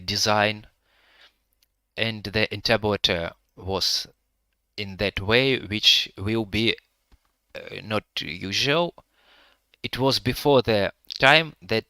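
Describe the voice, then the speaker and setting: A man with a Russian-Ukrainian accent guiding a live virtual tour, speaking halting English with many pauses to find his words. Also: lowish voice, bright, forceful tone, clean tone, dry recording. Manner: hesitant, pausing to find words; halting non-native English with frequent fillers.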